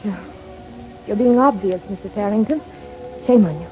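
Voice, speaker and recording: A woman's voice: mid-range voice, moderate pace, soft background music, close to an everyday voice. An actress playing a sweet, teasing, genteel young society woman in a 1930s radio drama. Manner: genteel, playful, gently reserved. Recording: muffled, crackly 1930s radio broadcast.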